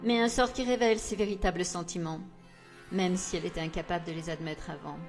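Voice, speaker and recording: A woman playing a scheming, taunting sorceress in a French fandub of a fantasy drama, speaking in a deliberate, theatrical way. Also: lowish voice, steady tone, close to an everyday voice. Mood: cold, persuasive. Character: scheming, taunting villainess sorceress, older aunt figure. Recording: loud music under the voice.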